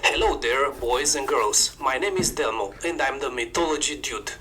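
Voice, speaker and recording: A man's voice: mid-range voice, expressive, normal speed, bright, forceful tone, slight background noise. A man with a Romanian accent narrating a scripted video about folk customs in an upbeat presenter manner.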